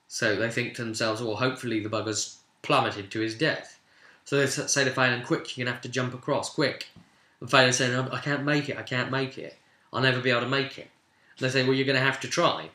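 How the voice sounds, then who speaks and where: A British man casually recounting a tabletop game as its game master, in an animated storytelling way. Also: medium pitch. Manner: animated, acts out players' remarks in quotes. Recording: roomy, echoing room sound.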